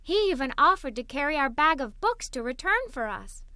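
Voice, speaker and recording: A woman reading a children's story aloud in an animated, expressive way. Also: high-pitched, normal speed, dry recording, studio quality. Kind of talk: scripted read-aloud storytelling for kids.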